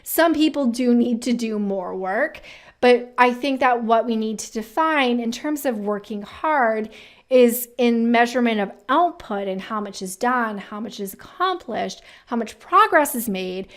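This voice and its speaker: A woman with a somewhat high-pitched voice hosts a career advice video. She speaks in a conversational, emphatic way. She often frames her commentary as rhetorical questions. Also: animated, unhurried. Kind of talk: casual commentary reacting to viewer-submitted quotes.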